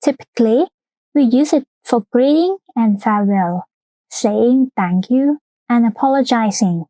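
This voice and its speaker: Woman with a high, dry voice. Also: lively intonation, dark tone, dry recording, poor audio. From Thailand. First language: Thai. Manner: careful, measured explaining in second-language English. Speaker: podcast co-host. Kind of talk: explanatory cultural talk.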